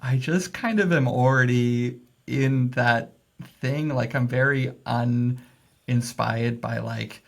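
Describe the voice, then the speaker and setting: A man's voice: mid-range voice, steady tone, slow, creaky. A man talking as a podcast guest, loose and casual, full of fillers and laughs as he thinks aloud. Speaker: guest, messaging strategist. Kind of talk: casual interview chat.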